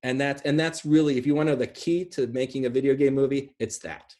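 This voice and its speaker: A man's voice: medium pitch, fast. A middle-aged man talking casually and emphatically, explaining things on a video call. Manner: emphatic, animated. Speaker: speaker and co-host of online film panel. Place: room at home, indoors.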